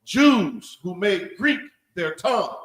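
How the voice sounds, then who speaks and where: A man's voice: high-pitched, sing-song, slow, fairly bright tone. A man reading a definition aloud from a reference book in class. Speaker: student.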